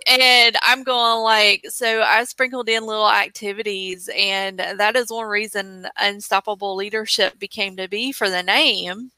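Woman, podcast host, bright tone, dry close sound, effusive, enthusiastic. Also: mid-range voice, steady tone, measured pace, hardly any pauses, no room sound, poor audio. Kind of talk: casual interview chat.

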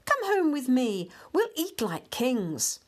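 Woman playing a brash, bossy town mouse, raised pitch. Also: very animated, measured pace. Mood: boastful, inviting. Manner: bossy, scoffing. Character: brash, boastful, worldly male town mouse. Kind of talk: children's storybook character voice.

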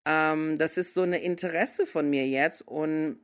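A man's voice: a little high, steady tone, unhurried, a bit bright. A man in his thirties talks in an easy, rambling way, with a native German speaker's voice. He keeps sliding into English phrases mid-thought. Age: 30s. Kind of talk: casual conversation.

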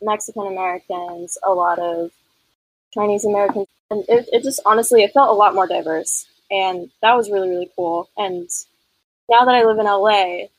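A woman's voice: mid-range voice, moderate pace, no echo, poor audio. Woman in her twenties from Northern California. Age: 20s. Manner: thoughtful, frequent fillers. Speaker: podcast guest. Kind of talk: casual conversation.

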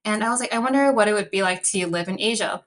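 Bright, chatty young woman from Chicago. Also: medium pitch, fast. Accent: American, Chicago. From Chicago, USA. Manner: chatty, upbeat, laughs often, uses "um" and "like". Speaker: podcast guest. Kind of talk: casual interview conversation.

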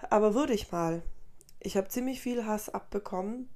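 A woman in her late twenties, originally from Colombia, speaking in a soft, rambling and tired way as a podcast host, often correcting herself mid-sentence. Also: mid-range voice, normal speed. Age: 20s. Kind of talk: casual personal monologue.

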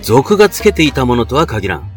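A man's voice: medium pitch, big pitch swings, fairly quick, faint music behind, lightly acted. A man playing a dignified feudal lord, stern but caring, with lordly archaic speech in a Japanese period audio drama. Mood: grave, commanding.

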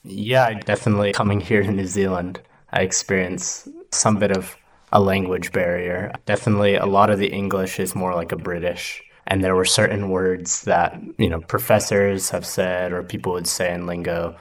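A man's voice: deep voice, flat delivery, moderate pace. A young man from the United States, chatting in a laid-back, hesitant way as a podcast guest. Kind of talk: casual podcast chat.

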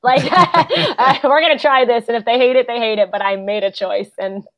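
A young adult woman, a guest actor and playwright, chatting casually in an interview, full of fillers and quick laughs. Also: on the high side, a bit bright. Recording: dull lo-fi podcast audio.

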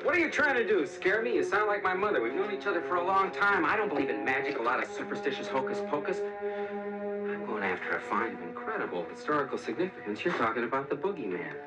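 A brash, skeptical adventurer archaeologist, acted by a man, speaks in lively film dialogue with wide pitch swings. A loud score swells behind him. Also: quick pace, over loud music, poor audio. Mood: teasing, confident.

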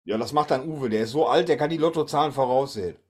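A man's voice: medium pitch, quick pace, darkish tone, slightly rough. A man hosting a podcast in casual chat. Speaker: podcast host.

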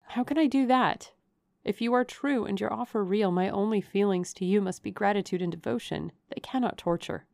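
A woman's voice: mid-range voice, normal speed, gravelly, a little mellow, dry recording, studio quality, voice pushed up, lightly acted. A woman voicing a devoted but doubting young woman in an audiobook, earnest, guarded and questioning. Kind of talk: dramatic audiobook character reading.

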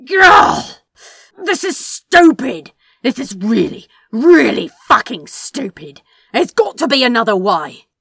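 A woman voicing a volatile, sharp-tongued male demon who shouts and swears emphatically. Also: fairly low pitch, very animated, slow, echoey room, far from the mic, exaggerated voice. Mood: frantic, furious.

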